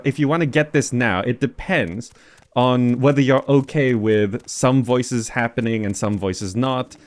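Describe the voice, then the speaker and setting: A man with a mid-pitched voice gives casual game commentary. He rambles and thinks aloud like a host chatting to viewers, with faint music behind him. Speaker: gaming video host.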